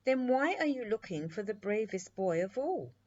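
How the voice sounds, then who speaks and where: A woman voicing a merry, kind, ageless witch from a children's storybook, gently amused, on a muffled recording. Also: mid-range voice, sing-song, moderate pace, pitched above the performer's own voice.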